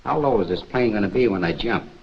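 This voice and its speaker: A questioning trainee secret agent in a wartime film. His voice is fairly low. The sound is dull and muffled, from an old soundtrack. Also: expressive, fast, gravelly. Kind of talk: 1940s training-film acting.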